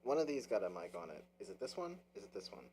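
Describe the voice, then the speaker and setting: A man's voice: fairly low pitch, sing-song, fast talker, gravelly, mellow, slight background noise. A man streaming, chatting casually over a game, brash and profane, repeating himself as he talks. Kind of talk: casual gaming chat. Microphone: game controller mic.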